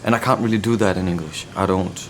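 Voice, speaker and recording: A deep-voiced Danish man with a Danish accent talks thoughtfully about his band's work in an interview. His speech is measured and reflective, and he often stops to look for the right word. There is a faint hiss behind him. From Denmark. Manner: reflective, searching for words. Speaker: band member. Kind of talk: interview answer.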